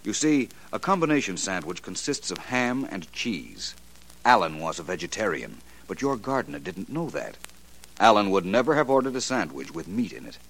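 A suave, cerebral detective in an old-time radio mystery. He lays out his reasoning calmly in a fairly low voice, yet his pitch moves a lot as he talks. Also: vocal fry, heavily acted, rough recording. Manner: measured, explanatory. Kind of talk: 1940s radio acting.

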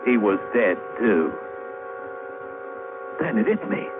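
Low-voiced British sailor spinning a yarn. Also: male voice, slow pace, music in the background. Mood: horrified. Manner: colloquial old-salt storyteller with rustic grammar. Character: plain-spoken working-class sailor-diver telling his grim adventure. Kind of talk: 1940s-50s radio drama acting and narration. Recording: muffled, narrow-band, hissy old radio broadcast.

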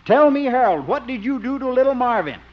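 A man's voice: very high-pitched, very animated. An actor playing a dim, eager male sidekick with stilted, exaggerated delivery in 1950s radio comedy. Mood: stiltedly earnest. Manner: slow-witted, stilted, exaggerated. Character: dim, eager male sidekick, loud stagey whisperer of plans. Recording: muffled, very noisy old radio recording.

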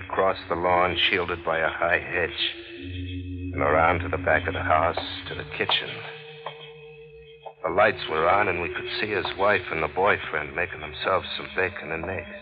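A muffled old radio mystery recording carries a man playing a low-pitched taxi driver. He is a nervous family man, colloquial and hesitant, telling his tale in hardboiled 1940s radio style. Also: lightly acted. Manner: hesitant, colloquial, deferential under pressure. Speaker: radio drama actor and first-person narrator.

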